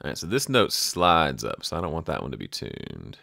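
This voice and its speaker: Low-voiced man in a casual tutorial. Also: huge pitch swings, a little fast, creaky, sharp tone, no echo, clean studio sound. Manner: conversational, thinking aloud, self-interrupting. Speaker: audio production tutorial host. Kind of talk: casual screen-share tutorial talk.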